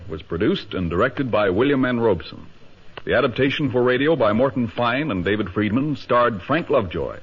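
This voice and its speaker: A man's voice: fairly low pitch, husky. A man with an American accent announcing credits in a dramatic 1950s radio style. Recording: dull 1950 radio broadcast recording.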